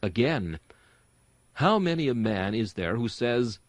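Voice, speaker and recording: A man's voice: mid-range voice, very animated, a little slow, no room sound. A man giving an earnest, rhetorical reading of a Victorian sermon, exhorting his listeners. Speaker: sermon reader and host.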